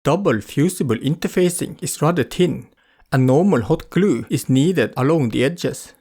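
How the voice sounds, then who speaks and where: Guy doing a diy craft voiceover, husky voice, a bit on the high side. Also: expressive, moderate pace, dark tone. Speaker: craft vlogger narrating a tutorial. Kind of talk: explanatory voice-over narration.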